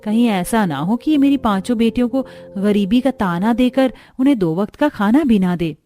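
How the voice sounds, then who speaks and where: A woman's voice: a little high, expressive, normal speed, dark tone, close to an everyday voice. A woman voicing a poor, middle-aged mother in a cartoon story, earnest and fretful. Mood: worried. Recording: music under the voice.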